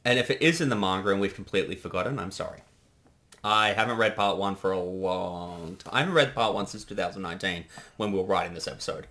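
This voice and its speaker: Man, thirties, low bright voice, hesitant, casual director's commentary. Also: fast. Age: 30s. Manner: hesitant, rambling, self-interrupting. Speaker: co-director giving creator commentary.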